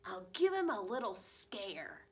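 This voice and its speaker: A woman's voice: medium pitch, big pitch swings, measured pace, fairly bright tone. A woman voicing an eager male dog in a children's storybook, speaking emphatically to help a friend. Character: eager male dog pal offering cures.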